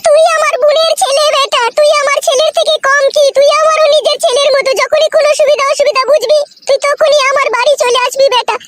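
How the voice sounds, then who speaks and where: A woman's voice: very high-pitched, fairly even intonation, fast, few pauses, a bit bright. A woman voicing a motherly, sentimental older widowed village aunt in a cartoon. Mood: tender, sorrowful. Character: doting, sorrowful older widowed village auntie.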